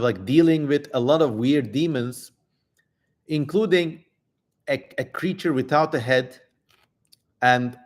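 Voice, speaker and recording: A man hosting a livestream in casual chat, with a wry, informal, digressive way of talking. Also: mid-range voice. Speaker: livestream host.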